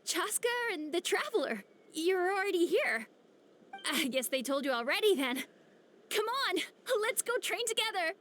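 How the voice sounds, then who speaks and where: Game character, pushy upbeat young woman rider, very high and animated. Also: moderate pace, a little hiss or hum, full character voice. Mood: eager. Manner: energetic, insistent. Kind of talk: video game voice acting.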